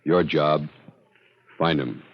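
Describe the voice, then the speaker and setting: Very deep, portentous radio crime announcer. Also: male voice, fairly even intonation, takes it slowly, background noise, heavily acted. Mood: grave, urgent. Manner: terse, portentous, dramatic delivery. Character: grave male announcer laying out a police case. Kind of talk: 1950s radio drama scripted narration. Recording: muffled old radio recording with music.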